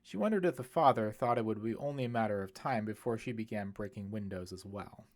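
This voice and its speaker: A man's voice: lowish voice, a little fast. A man reading scripted fiction as an audiobook narrator, telling the story in prose.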